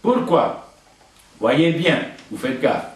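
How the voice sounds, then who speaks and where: A man's voice: on the high side, animated, takes it slowly. An older man who grew up speaking Italian, with an Italian accent, bluntly and indignantly reading out an open letter. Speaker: video letter writer. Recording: echoey, distant from the mic.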